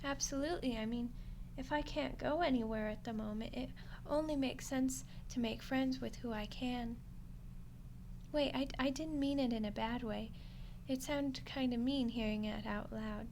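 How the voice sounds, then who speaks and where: A woman's voice: on the high side, normal speed, darkish tone, natural voice. A woman voicing a friendly, lonely undead skeleton girl in an ASMR roleplay, chatting casually and awkwardly to the listener. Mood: apologetic, hopeful. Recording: clear background noise and ambience.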